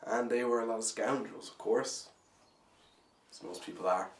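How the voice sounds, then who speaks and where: A man's voice: mid-range voice, lightly acted. Man acting a bitter young man, echoey room. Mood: brooding, bitter. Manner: halting, swinging between hushed brooding and loud outbursts. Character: bitter, anguished, disillusioned young man in love. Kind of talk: theatrical dramatic monologue. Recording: echoey room, distant microphone.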